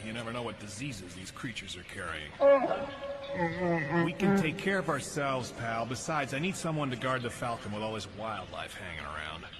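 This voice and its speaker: An actor playing a sardonic, protective space smuggler in a sci-fi audio drama, casual but quick to bark. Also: male voice, mid-range voice, close to an everyday voice. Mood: urgent, shouting. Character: wry, grumbling male smuggler, brave protective husband. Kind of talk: sci-fi radio drama acting. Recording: noisy sound effects and ambience.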